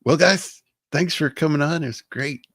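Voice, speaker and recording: A man's voice: fairly high pitch, fairly bright tone, no echo, clean studio sound. A man in his late thirties hosting a livestream, talking easygoing and laid back. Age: 30s. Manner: slow, easygoing, signs off with y'all. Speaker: host. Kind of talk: casual livestream chat.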